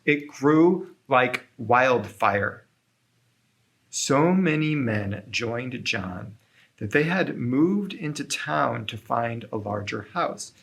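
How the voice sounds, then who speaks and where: Male storyteller, mid-range voice, reading aloud to children with expressive, deliberate phrasing. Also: medium pitch. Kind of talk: scripted children's story narration.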